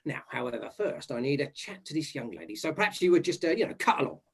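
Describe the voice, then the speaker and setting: A man's voice: on the high side, sing-song, fast, gravelly, husky, heavily acted. A man playing a bumbling, self-important police inspector, rambling and condescending, in a comic murder mystery. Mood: condescending, brusque.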